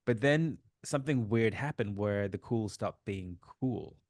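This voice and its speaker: A man in his fifties, originally from Sri Lanka, telling his life story as an interview guest, with relaxed fillers. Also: on the low side, measured pace, no echo, clean studio sound. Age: 50s. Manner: warm storytelling, reflective, many fillers. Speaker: guest, author. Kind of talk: casual interview chat.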